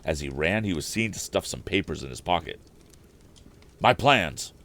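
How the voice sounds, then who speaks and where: Very deep-voiced man reading a story, animated. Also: fast talker, slight background noise. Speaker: storyteller, live stream host. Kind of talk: expressive audiobook-style reading of an old adventure novel.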